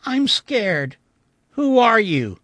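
A man voicing the timid, plaintive ghost of a little girl in a storybook reading, childlike and halting in speech. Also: sits high, a bit bright, dry recording, voice pushed up. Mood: frightened. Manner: childlike, halting, stammering when upset.

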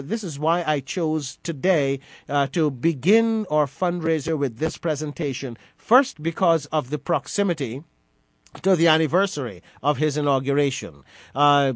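A man's voice: a little high, no room sound. A middle-aged man from Guyana hosting a radio program, speaking earnestly and often restarting his phrases. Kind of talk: reflective on-air commentary.

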